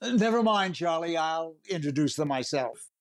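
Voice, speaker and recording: A man's voice: a little high, expressive, normal speed, natural voice. A man playing a polite, eager host of a 1930s radio variety show. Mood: polite, upbeat. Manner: courteous, upbeat.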